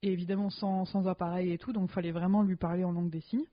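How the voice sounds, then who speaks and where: A young woman co-hosting a podcast, telling stories in a lively, slangy, joking way. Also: on the low side, fast talker. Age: young adult. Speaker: podcast co-host. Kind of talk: casual chat. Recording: dull lo-fi sound.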